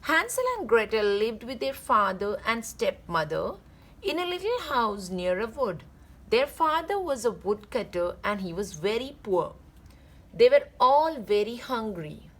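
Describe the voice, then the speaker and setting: Woman doing kids read-aloud, slow pace, really animated up and down, simple explaining. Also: fairly high pitch, rough recording. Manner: simple, explanatory storytelling to young listeners. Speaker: storyteller reading aloud for children. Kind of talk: read-aloud children's storybook narration with simple asides.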